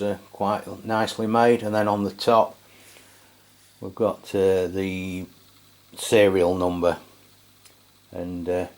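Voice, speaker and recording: A man giving a casual, hands-on product review as a channel host, speaking in a low-key, hesitant, unscripted way. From England.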